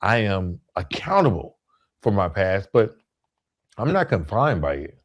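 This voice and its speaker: A man's voice: on the low side, big pitch swings, measured pace. A man hosting a solo podcast, explaining his points in an emphatic, rhetorical way and repeating key phrases for weight. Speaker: podcast host.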